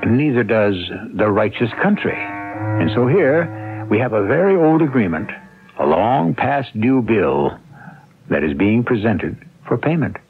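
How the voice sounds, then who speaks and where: A man's voice: medium pitch, very animated, a little slow, gravelly. A man hosting a radio mystery, reading scripted narration in a wry, theatrical storytelling manner. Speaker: host. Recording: tinny old radio broadcast, music behind.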